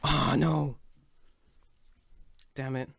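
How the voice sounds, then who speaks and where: A man's voice: mid-range voice, flat delivery, extremely slow, gravelly, a bit bright. A man giving casual, sweary gaming commentary, muttering and exclaiming as he plays. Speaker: video game player. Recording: faint keyboard clicking and noise. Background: computer keyboard typing and clicks.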